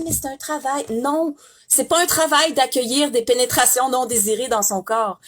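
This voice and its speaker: A young woman with a Québécois French accent, giving an emphatic, indignant testimony with frequent restarts. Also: a little high. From Canada. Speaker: former sex industry worker giving testimony. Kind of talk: impassioned testimony.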